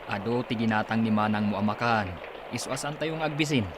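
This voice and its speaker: An actor playing a sensible, admonishing older brother in a Filipino village radio drama. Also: male voice, fairly low pitch, a little fast, background noise, natural voice. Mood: welcoming.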